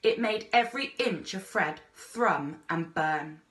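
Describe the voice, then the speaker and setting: A woman reading a children's adventure story aloud as an expressive narrator, like a teacher reading to a class. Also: medium pitch, slow pace. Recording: echoey room.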